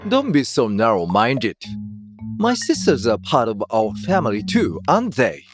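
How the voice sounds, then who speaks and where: An actor playing a self-righteous, clueless husband who scolds and dismisses, in a dramatized manga dub. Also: male voice, mid-range voice, wildly swooping pitch, moderate pace. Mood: scolding, dismissive. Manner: scolding, indignant, condescending. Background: music.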